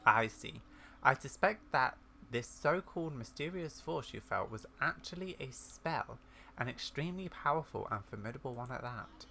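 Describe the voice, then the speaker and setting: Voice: mid-range voice, lively intonation, moderate pace, creaky, bright, forceful tone, slight background noise. A gamer voicing a kindly, scholarly older witch woman from a video game, in a genteel, musing manner. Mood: musing, grave. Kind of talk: video game dialogue read aloud.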